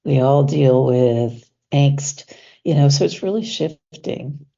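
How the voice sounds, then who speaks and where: Stuffy woman, husky, mellow, casual guest chat, dull lo-fi sound. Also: rumbling low. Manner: chatty, breathy laughs, fillers, slows down to stress words. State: stuffy from allergies. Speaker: guest coach. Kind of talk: casual interview conversation.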